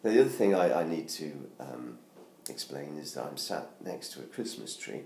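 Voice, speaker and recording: A middle-aged man from the UK, hoarse from laryngitis, chatting casually with many ums and restarts as he promotes his book from his living room. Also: extremely low pitch, very animated, moderate pace, warm tone. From United Kingdom. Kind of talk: casual self-made promo video. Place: living room at home by a Christmas tree. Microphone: tablet camera at close range. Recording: roomy home sound with faint noise.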